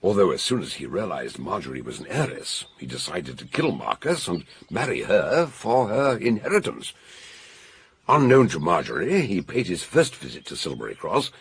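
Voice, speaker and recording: Husky, deep-voiced older detective. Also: male voice, huge pitch swings, moderate pace, exaggerated voice. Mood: shrewd, explaining. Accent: British English. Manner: theatrical, expansive, authoritative explaining. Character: older male amateur detective, jovial, commanding and shrewd. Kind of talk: British radio mystery drama acting.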